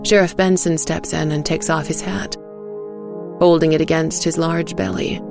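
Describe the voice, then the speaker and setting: A woman storyteller tells a dark fairy tale in the first person, with a low, gravelly voice. Her delivery is quiet and worn. Music plays clearly beneath her. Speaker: narrator. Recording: music under the narration.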